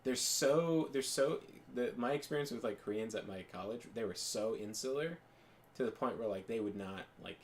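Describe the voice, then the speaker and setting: A man's voice: medium pitch, moderate pace, slight background noise. A young man chatting casually as a podcast co-host, rambling with lots of fillers and restarts. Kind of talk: casual chat while gaming.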